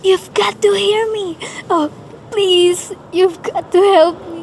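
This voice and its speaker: A woman's voice: very high-pitched, steady tone, slow, gravelly, darkish tone, slight background noise, full character voice. A woman acting in a radio play as a nervous, demanding invalid wife, alone and frightened, speaking with urgency. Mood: hysterical terror. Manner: nervous, imperious, breathless urgency.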